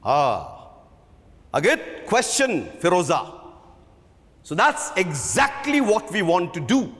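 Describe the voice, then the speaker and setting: A man performs a commanding, uncompromising theatre director of middle age. His delivery is slow and oratorical, with wide swings of pitch, ringing through a long hall reverb. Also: huge pitch swings, a bit bright, exaggerated voice. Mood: firm, patient. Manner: deliberate, emphatic, oratorical. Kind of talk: dramatic book reading.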